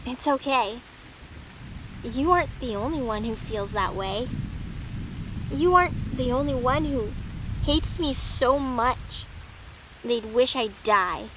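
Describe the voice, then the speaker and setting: Woman acting brash wounded girl, very high, halting. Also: lively intonation, noisy background. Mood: sad, resigned. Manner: blunt, halting, stammering. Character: brash, wounded young feathered goddess girl. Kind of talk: audio drama acting.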